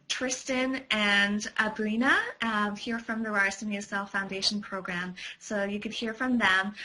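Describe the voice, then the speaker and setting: Woman, webcast presenter, fairly high, very bright tone, friendly, filler-heavy. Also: poor audio. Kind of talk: informational webcast.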